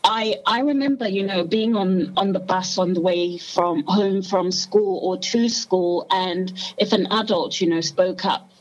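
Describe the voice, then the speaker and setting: A woman's voice: lowish voice, a little slow, highs cut off. A woman in her thirties from Zimbabwe, a podcast guest casually recalling her own life with reflective, qualifying asides. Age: 30s. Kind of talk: casual personal recollection.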